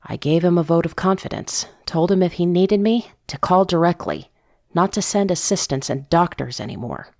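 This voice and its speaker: A woman giving a measured, intimate first-person narration of a scripted drama, as if reading from a diary. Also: fairly low pitch, animated, darkish tone, no room sound, clean studio sound. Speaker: narrator of a fiction podcast story.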